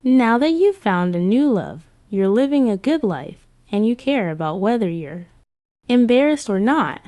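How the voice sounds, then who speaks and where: A woman's voice: fairly high pitch, a little mellow, dry recording, studio quality. A woman giving a scripted first-person narration for a romance comic dub.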